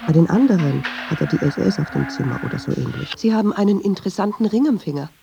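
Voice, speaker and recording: A woman's voice: on the low side, expressive, fast, creaky, muffled recording, music in the background. A woman playing a probing, dryly sceptical interviewer in a radio drama, speaking tersely. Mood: dry, wry.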